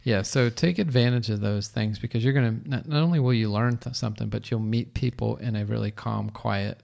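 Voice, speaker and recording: A middle-aged man co-hosting a podcast in casual chat, earnest and halting, often restarting his sentences. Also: on the low side, gravelly, darkish tone. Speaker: podcast co-host.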